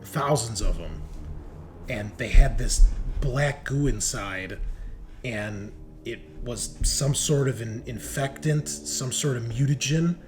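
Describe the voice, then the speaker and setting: A man's voice: mid-range voice, music in the background, close to an everyday voice. A man playing a shaken, dazed crewman just out of cryosleep in a tabletop game, stammering through broken recollections. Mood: halting, uneasy. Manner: halting, stammering, fragmented recollection.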